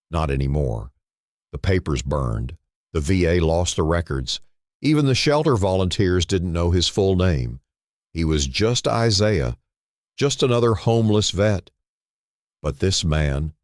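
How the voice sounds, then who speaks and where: A man narrating a scripted dramatic story in a solemn manner, in short phrases with pauses. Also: low voice, dry recording, clean studio sound.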